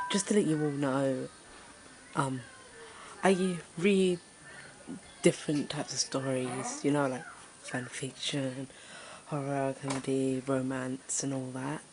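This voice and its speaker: Deep-voiced nervous woman. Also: poor audio. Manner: nervous, hesitant, many fillers. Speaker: story reading channel host. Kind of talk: casual self-introduction to camera.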